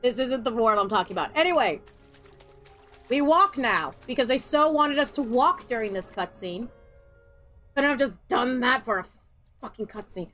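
A woman's voice: on the high side, animated, moderate pace, long pauses, a bit bright. A young woman streaming a video game, giving sarcastic, ranting commentary and swearing freely over the cutscenes. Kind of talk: casual let's-play commentary. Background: game music and cutscene audio.

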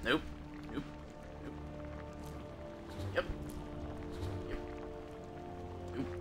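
A man in his thirties giving casual, sweary, rambling and halting commentary as he streams a game. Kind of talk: casual livestream gameplay commentary. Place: small room at home, indoors. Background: game music.

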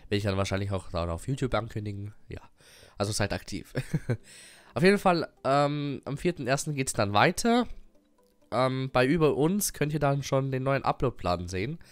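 A man's voice: mid-range voice, animated, normal speed, dry recording, faint music behind. A young man with a cold giving a casual, rambling channel update with many fillers and little laughs. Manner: rambling, many fillers and restarts, frequent chuckles. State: has a cold. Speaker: gaming YouTuber and streamer.

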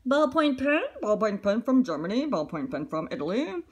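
A woman's voice: on the high side, very animated, normal speed, breathy edge. A woman voicing a dull, stuffy male school principal from a storybook, formal and corny. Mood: droning.

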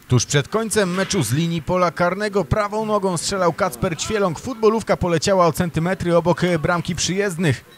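Man narrating youth football highlights. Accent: Polish. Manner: steady narration of plays. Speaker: match commentator. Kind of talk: scripted match highlights voice-over.

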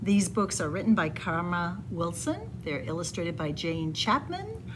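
A woman reading a picture book aloud in an animated, deliberate, sing-song storytelling style. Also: on the low side, noisy background. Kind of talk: expressive children's read-aloud storytelling. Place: indoors, room with bookshelves.

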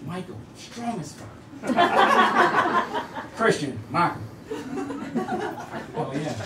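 A man's voice: high-pitched, huge pitch swings, very slow, very noisy. A young man doing stand-up crowd work on a small club stage, teasing the audience and laughing through his lines. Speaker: stand-up comedian. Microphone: handheld mic on stand. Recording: roomy club sound from a distance, loud crowd laughter.

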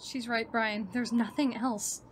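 A woman playing a frightened young lady besieged by dark creatures in a horror radio drama. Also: fairly high pitch, fairly even intonation, measured pace, natural voice. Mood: fearful. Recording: clearly noisy background.

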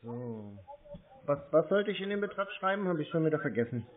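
A man's voice: on the high side, big pitch swings. Man on a tinny phone line. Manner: plays a slow, naive caller, then turns blunt and mocking. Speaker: scam baiter. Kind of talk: recorded prank phone call.

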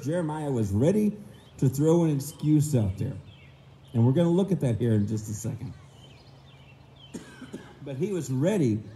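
A middle-aged man, hoarse and low-voiced this morning, preaching a sermon at a stand mic in an outdoor park pavilion and mimicking people's excuses in quick voices. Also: warm tone. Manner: acts out excuses in quick voices, slow emphatic stresses. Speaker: minister. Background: faint outdoor ambience with birds.

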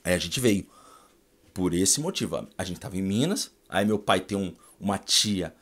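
A Brazilian man in his forties with a slightly low voice and a São Paulo accent, chatting straight to a close camera in a small room at home. He tells his story loosely and with energy, and often ends a phrase with a quick "tá?" or "né?". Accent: São Paulo Brazilian Portuguese. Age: 40s. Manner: animated, gestures a lot, tags lines with "tá?" and "né?". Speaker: vlogger. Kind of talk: casual personal storytelling.

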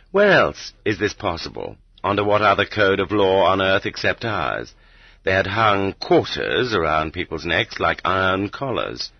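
Male audiobook reader, husky, dry studio sound slightly muffled, measured and dramatic emphasis. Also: deep voice, no echo. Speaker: audiobook narrator. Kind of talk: scripted audiobook narration.

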